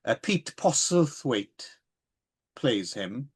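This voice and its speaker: A man's voice: medium pitch, very animated, drawn-out words. A man co-hosting a podcast, chatting casually and rambling about films, with many hesitations and asides. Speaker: podcast co-host.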